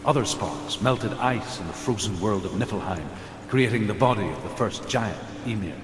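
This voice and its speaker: Man acting a deep, echoing myth storyteller. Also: animated, normal speed, close to an everyday voice. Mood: grave. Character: grave male storyteller of Norse myths. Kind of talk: scripted mythic game narration. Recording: long reverb, loud music behind.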